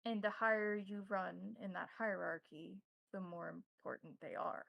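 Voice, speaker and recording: A woman playing a courteous, cryptic vampire priest and advisor, speaking formally in measured, halting phrases in a tabletop roleplaying game. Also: mid-range voice, fairly even intonation, gravelly, fairly bright tone, dry recording. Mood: solemn, explaining.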